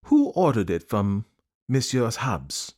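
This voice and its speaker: A man voicing a fussy, precise male detective who asks polite, probing questions in a deliberate way. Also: medium pitch, sing-song, darkish tone, voice pushed up.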